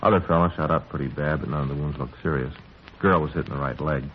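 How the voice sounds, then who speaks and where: A no-nonsense American cop in an old radio police drama. He reports briskly and factually in clipped phrases. The sound is thin and phone-like, with clear background noise. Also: rumbling low. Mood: businesslike. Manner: matter-of-fact, clipped. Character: businesslike male police partner reporting facts. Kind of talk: 1950s radio police procedural acting. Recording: tinny, noisy 1950s radio recording.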